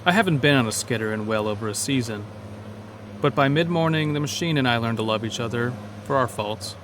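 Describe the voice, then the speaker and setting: A man's voice: mid-range voice, fairly quick, background noise. A man narrating a scripted first-person horror story as an uneasy logging worker.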